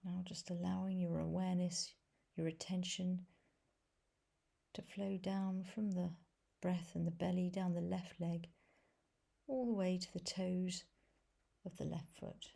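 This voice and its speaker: A woman leading a guided meditation as a teacher, speaking softly and gently in a breathy voice with long pauses between phrases. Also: lowish voice, little pitch movement. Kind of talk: guided body scan meditation.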